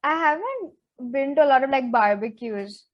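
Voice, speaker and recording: Teen girl co-host, slightly high voice, chatty and quick to get worked up. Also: highs cut off. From Zambia. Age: teens. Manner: chatty, animated, says "like" a lot. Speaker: co-host, interviewee. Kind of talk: casual chat podcast.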